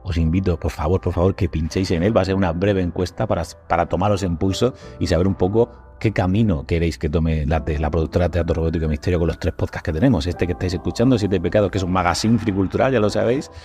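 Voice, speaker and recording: A man's voice: low-pitched, fairly even intonation, quick pace, hardly any pauses, warm tone, faint music behind. A man with an accent from Spain hosting a podcast, chatting casually in informal, slangy language. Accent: Spanish from Spain. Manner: informal, colloquial, with slangy swearing. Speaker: podcast host.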